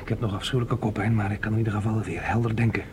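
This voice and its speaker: A man's voice: lowish voice, flat delivery, very fast, close to an everyday voice. An actor playing a dazed, aching but determined man in a science fiction radio drama, hesitant and urgent. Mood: impatient, determined. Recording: clearly noisy radio play recording.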